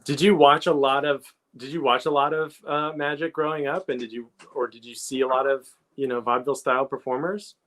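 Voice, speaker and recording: A man's voice: mid-range voice, steady tone, fairly bright tone. A man hosting a casual interview, feeling his way through questions and rephrasing them as he goes. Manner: halting, repeats and rephrases questions thoughtfully. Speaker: interviewer, host.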